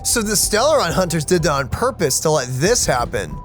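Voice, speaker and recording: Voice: medium pitch, very animated, brisk, faint music behind. A let's play host giving informal, chatty, reactive gaming commentary.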